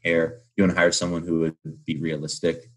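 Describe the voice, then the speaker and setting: A man in his twenties with a deep, husky voice. He speaks as a guest in a casual interview, candid and informal, leaning on slang and filler words. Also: monotone, fairly quick. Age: 20s. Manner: informal slangy speech peppered with "like". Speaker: podcast guest, young entrepreneur.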